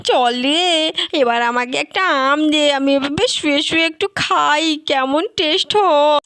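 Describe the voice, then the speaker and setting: A woman's voice: high-pitched, normal speed, fairly bright tone, no room sound. A woman voicing a greedy, gleeful, bossy doll mother who gushes over her food in a children's doll story. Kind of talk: Bengali doll-play storytelling.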